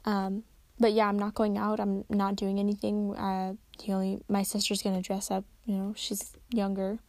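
A tired young woman hosting a casual solo podcast at home, rambling and correcting herself, her voice slightly raspy. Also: mid-range voice, monotone, moderate pace, a little mellow, no room sound. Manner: rambling, chatty, self-correcting. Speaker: podcast host. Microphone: close desk microphone.

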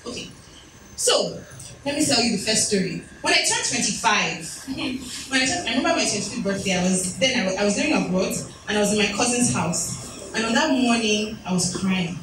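A woman's voice: mid-range voice, expressive, fairly quick. A woman in her thirties giving a motivational talk at an event, telling personal stories with chatty, self-deprecating asides. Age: 30s. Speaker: speaker. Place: event hall. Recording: echoey room from far off, noisy.

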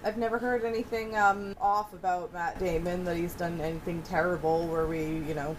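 A woman's voice: on the low side, fairly even intonation, normal speed, background noise. A woman co-hosting a podcast, talking casually about films. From Massachusetts, United States. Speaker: podcast co-host. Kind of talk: casual film discussion.

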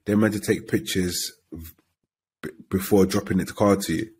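A man in a casual chat, measured and repeating his points. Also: low voice, steady tone, darkish tone, a little hiss or hum. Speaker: podcast co-host.

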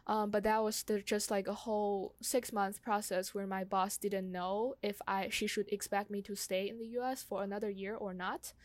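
A young woman in a casual, personal conversation. Her voice sits at a middle pitch and she feels her way through sentences with frequent "like". The sound is dry, with very little room. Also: steady tone, normal speed, few pauses, dry recording. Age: young adult. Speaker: conversation host sharing own experience.

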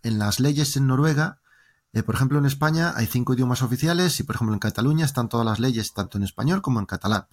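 A man's voice: mid-range voice, quick pace. Spanish man telling stories. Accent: Spanish from Spain. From Spain. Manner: lively, joking storyteller, talking in long winding turns. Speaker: interview guest. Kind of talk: casual interview conversation.

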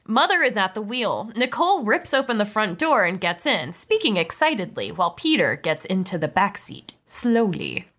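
A woman with a bright, forceful voice reads comedic stage directions. She is playful and drops casual asides into the scripted narration, at a middling pitch. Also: very animated, normal speed, hardly any pauses. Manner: playful, breaking into amused asides. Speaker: narrator of a script table read.

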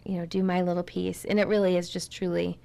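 A woman's voice: fairly low pitch, little pitch movement, quick pace, creaky, warm tone. A middle-aged woman, a guest in an interview, talking earnestly about her community volunteer work and repeating words for emphasis. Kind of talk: casual interview talk.

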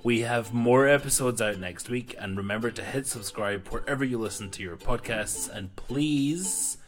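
A man's voice: medium pitch, moderate pace, faint music behind. A man hosting a podcast, thanking his guests and reading the closing sign-off in an upbeat way. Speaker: podcast host. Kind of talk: podcast outro.

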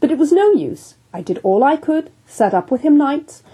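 A woman's voice: sits high, very animated, moderate pace, warm tone, voice pushed up. A woman voicing a sober, formal English ship's surgeon in a storybook reading. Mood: measured. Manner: formal, unruffled, precise.

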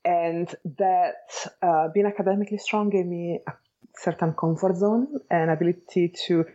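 A woman with an Italian accent and a low, mellow voice answers interview questions. She speaks in a measured, thoughtful way, articulate but pausing as she reflects. The recording is slightly dull. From Italy. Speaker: interview guest, architect and educator. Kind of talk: reflective interview talk about own life.

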